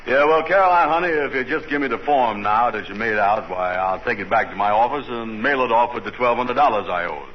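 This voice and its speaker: A man's voice: mid-range voice, a little fast, few pauses, close to an everyday voice. A man acting in a 1940s radio comedy, playing a boastful fellow who talks in folksy, slangy grammar. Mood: bragging. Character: boastful, smooth-talking man trying to impress a girl. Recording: muffled old radio broadcast with hiss.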